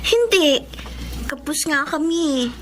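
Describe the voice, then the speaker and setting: A woman's voice: high-pitched, lively intonation, slow, a bit bright, full character voice. A woman playing a grateful, polite young blind student in a Filipino radio drama. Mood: eager. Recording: faint background noise.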